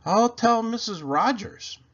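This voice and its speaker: Man putting on an eager storybook housekeeper lady, high-pitched. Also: expressive, slow, a bit bright, dull audio, heavily acted. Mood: earnest. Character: literal-minded, cheerful, eager housekeeper woman.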